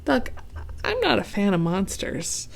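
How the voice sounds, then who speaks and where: Woman doing a timid young goose, storybook, nervous, hesitant, slow. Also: medium pitch, very animated, creaky, full character voice.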